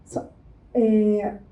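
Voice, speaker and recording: A Brazilian woman giving a lively networking workshop, talking playfully and firing quick questions at the room. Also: mid-range voice, highs cut off. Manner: animated, playful, asks the room rapid questions. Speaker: workshop speaker. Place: event room before a live audience. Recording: echoey room.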